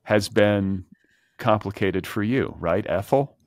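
A man's voice: fairly low pitch, slow pace, dry recording. A middle-aged man hosting a podcast, wry and joking, with loose phrasing, speaking close to a large studio mic. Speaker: podcast host. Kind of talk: conversational podcast interview. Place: home room.

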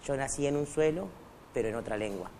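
A man's voice: mid-range voice, a little fast, warm tone, a little hiss or hum. Hesitant man with a Quechua first language. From Argentina, raised in Bolivia. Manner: hesitant, many fillers and restarts. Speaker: guest writer. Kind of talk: casual interview talk. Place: TV studio. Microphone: lapel mic.

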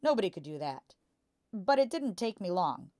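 A woman voicing a boastful, bullying father who deals in crooked cars, in a loud, hectoring manner for a storybook. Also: medium pitch, sing-song, moderate pace, no room sound, clean studio sound, voice pushed up, lightly acted.

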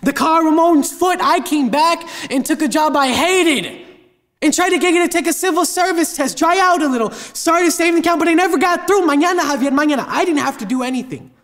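A frustrated young son with a very high voice, acted by a young man. He reproaches his father in the manner of a stage drama. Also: a little fast, close to an everyday voice. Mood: angry, hurt. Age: teens to young adult.

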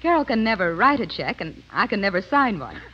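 Young female guest, mid-pitched voice, scripted 1930s radio interview, muffled vintage recording. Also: very animated, a little fast. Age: young adult. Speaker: interview guest, personal business manager.

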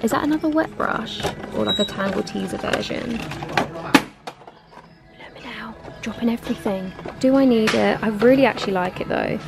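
A woman's voice: on the high side, moderate pace, creaky, loud music behind the voice. A young woman, chatting casually to camera as she browses a large home goods store with a handheld camera. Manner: chatty, giggly, talking to camera while browsing. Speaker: vlogger. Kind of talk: casual shopping vlog chat.

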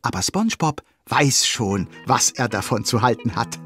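A man's voice: medium pitch, lively intonation, moderate pace, fairly bright tone, faint music behind, lightly acted. A man narrating a children's cartoon audio play in a playful, scripted storyteller style. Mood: cheerful. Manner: playful, addresses young listeners.

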